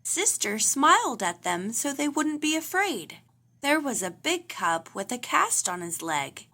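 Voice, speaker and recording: A woman reading a children's storybook aloud in an expressive narrating style. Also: sits high, measured pace. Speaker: storybook reader.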